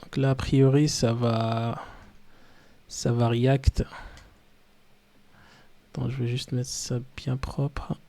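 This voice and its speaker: A man streaming live in French, which is not his first language, chatting loosely and haltingly while he sets up. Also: medium pitch, level tone, dark tone. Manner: halting, loose, talks to himself while setting up. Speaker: streamer reacting to videos. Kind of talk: casual live-stream chat.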